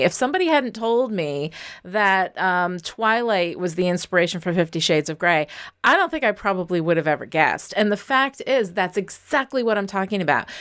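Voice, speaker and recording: A woman's voice: fairly low pitch, fairly bright tone. A woman speaking as a guest writing teacher, explaining things in an encouraging, conversational interview style.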